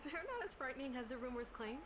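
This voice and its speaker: A bashful young female poet from a fantasy game. Her high voice is timid and hesitant, set over clear background noise. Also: very animated, fast talker. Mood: reverent. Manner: timid, hesitant, soft-mannered. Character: shy, nervous young female poet and scribe. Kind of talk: scripted video game voice acting.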